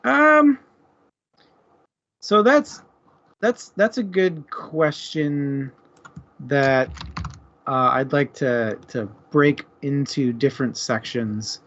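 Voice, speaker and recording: Laid back wording, guy chatting books as co-host, slow pace, bit muffled lo-fi audio. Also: mid-range voice, lively intonation, fairly bright tone. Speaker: book discussion co-host. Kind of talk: casual book discussion.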